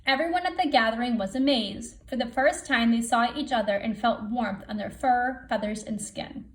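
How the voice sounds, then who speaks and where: A woman with a slightly high voice reads a children's story aloud. She sounds like a teacher, telling it deliberately and with expression.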